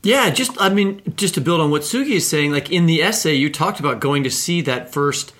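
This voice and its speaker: Higher-voiced middle-aged man, informal. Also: animated, brisk, fairly bright tone. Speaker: podcast co-host, writer. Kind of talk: casual, conversational interviewing.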